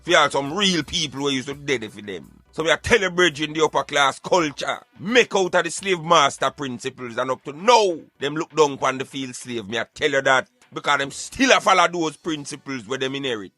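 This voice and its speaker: A man's voice: a little high, lively intonation, a little fast, hardly any pauses, bright, forceful tone, no echo, faint music behind. A Jamaican man arguing emphatically in Patois, in an impassioned debate. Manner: emphatic, argumentative, speaking in Patois. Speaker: radio show co-host.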